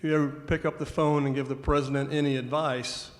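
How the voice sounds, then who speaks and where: A man's voice: mid-range voice, level tone, fairly quick. A man with a mid-range voice asks a courteous, formal question from the floor. His voice carries a long church-hall reverb. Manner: polite, formal. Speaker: audience member asking a question. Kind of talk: audience question.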